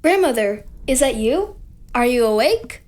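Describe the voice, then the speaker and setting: A woman's voice: fairly high pitch, sing-song, a little slow, darkish tone. A woman playing a polite, eager young girl in a fairy-tale audio drama. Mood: questioning. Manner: formal storybook politeness.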